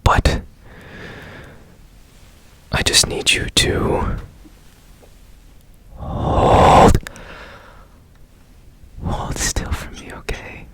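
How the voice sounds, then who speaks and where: Slow, whispering ASMR man. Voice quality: breathy unvoiced whisper. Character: strange secretive man repairing a broken robot. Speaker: ASMR roleplay performer. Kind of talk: whispered ASMR repair roleplay. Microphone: close ASMR microphone.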